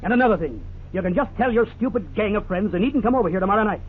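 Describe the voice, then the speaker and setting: A man's voice: high-pitched, lively intonation, racing. An actor playing a harsh, snobbish, domineering husband and father in a 1950s radio drama. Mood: scornful, angry. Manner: domineering, scornful, clipped orders. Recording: scratchy, tinny 1950s radio recording.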